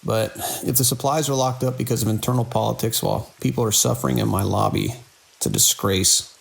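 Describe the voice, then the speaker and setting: A man playing a weary, dedicated hospital director in an audio drama, speaking in a measured, grave way. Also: medium pitch, steady tone, gravelly, slightly rough. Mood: indignant. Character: weary, dedicated male hospital director under mounting strain. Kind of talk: audio drama acting, recorded log entries and phone call.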